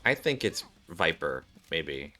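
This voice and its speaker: A man's voice: extremely low pitch, very animated, takes it slowly, pushed and bright, soft background music. A man streaming a game, reading cutscenes aloud and adding casual commentary.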